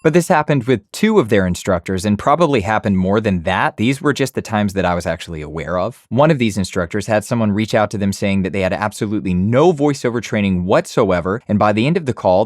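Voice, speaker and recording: Male voiceover coach, slightly low voice, dry treated-studio sound, blunt advice. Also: dry recording. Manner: direct, frank advice-giving. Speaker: voiceover coach and YouTube host. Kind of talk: scripted talking-to-camera lesson. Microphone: studio condenser mic.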